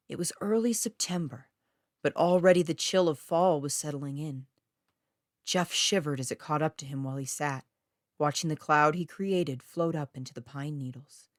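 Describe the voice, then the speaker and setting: A woman's voice: low voice, no room sound, clean studio sound. A woman reading her own eerie short story aloud as scripted narration.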